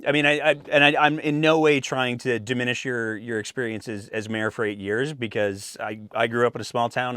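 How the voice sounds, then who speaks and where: A man's voice: dry recording, studio quality. A young man hosting a political interview, asking careful, hedging questions. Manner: careful, hedging questions with lively pitch swings. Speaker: podcast host.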